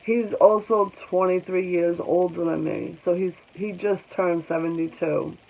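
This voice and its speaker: A woman in her forties speaking slowly in a personal vlog, congested, halting, and pausing often. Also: fairly low pitch, fairly even intonation, gravelly, muffled recording. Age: 40s. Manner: halting, reflective, with many pauses and ums. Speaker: vlogger. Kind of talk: personal vlog talking to viewers.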